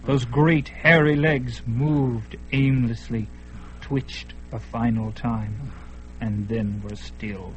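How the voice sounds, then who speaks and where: Brief: male narrator for fantasy radio drama, dramatic, swelling then hushed, music bed. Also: mid-range voice, fairly even intonation, takes it slowly, lo-fi sound. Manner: dramatic, swelling at peaks, hushed in quiet parts.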